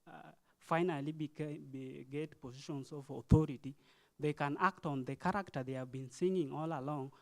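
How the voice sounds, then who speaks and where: A man with a Kenyan accent giving an impromptu speech at a youth forum, halting and earnest, urging listeners with rhetorical questions. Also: a little high. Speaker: forum participant.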